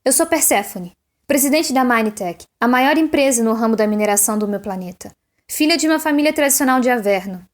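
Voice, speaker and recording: A high-pitched actress as a proud alien mining heiress. She testifies formally and solemnly, with an aggrieved, theatrical air suited to science-fiction audio drama. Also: lively intonation, brisk, lightly acted.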